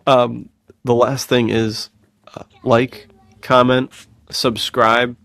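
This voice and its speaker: A man's voice: mid-range voice, level tone, slow pace, creaky, fairly bright tone, dry recording. A man hosting a podcast in a casual, laid-back, bro-ish way, using plenty of slang. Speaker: podcast host.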